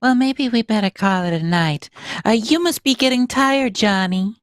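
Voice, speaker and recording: A woman's voice: a little high, animated, normal speed, no echo, clean studio sound, natural voice. A woman playing a doting, fussy, hospitable mother in a sci-fi radio play, effusive and coaxing. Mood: sympathetic, coaxing.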